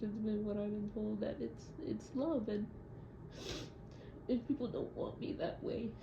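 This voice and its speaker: A young adult woman speaking in a hesitant, halting and reflective way, as in a confessional audio diary. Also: fairly high pitch, vocal fry. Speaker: audio diarist. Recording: muffled, echoey room with loud noise.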